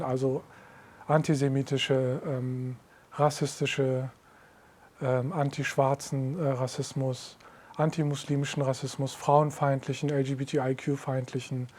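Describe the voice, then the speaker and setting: A man leading an anti-discrimination project, giving a measured interview statement with steady lists. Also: mid-range voice. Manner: steady listing, measured delivery.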